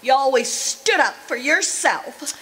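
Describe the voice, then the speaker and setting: A kindly, excitable gold-rush saloon girl , played by a woman in a radio play. Her voice is high and swings widely in melody. She takes her time, with a folksy, gushing manner. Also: takes it slowly, slightly rough, heavily acted, poor audio. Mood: nostalgic. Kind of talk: old-time radio drama acting.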